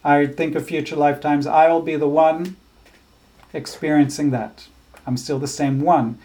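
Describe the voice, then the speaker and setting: Man, slightly high voice, scripture teacher, thoughtful, halting, restarts often. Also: fairly even intonation, mellow. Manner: chants verses slowly. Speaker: spiritual teacher. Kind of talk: explaining scripture in a class.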